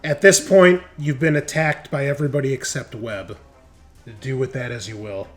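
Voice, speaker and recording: A man's voice: medium pitch, moderate pace, faint music behind. A man acting as game master, narrating a tabletop roleplaying game in a casual, descriptive way. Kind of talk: improvised game narration and rules calls.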